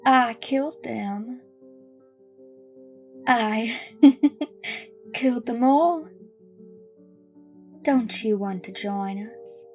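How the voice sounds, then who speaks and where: An actress playing a pious, calmly menacing schoolteacher in a horror audio drama, preaching in a measured way. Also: female voice, on the high side. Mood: gleeful, menacing. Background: music.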